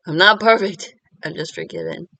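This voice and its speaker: A woman's voice: lowish voice, wildly swooping pitch, moderate pace, bright, forceful tone, no room sound, poor audio. Woman vlogger, casual confessional ramble, self-deprecating, dry room. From Korean American.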